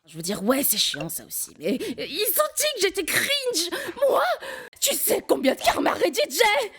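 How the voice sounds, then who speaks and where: A woman playing a whiny, indignant young retail worker and internet geek in an animated comedy dub, with exaggerated delivery. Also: extremely high pitch, normal speed, exaggerated voice.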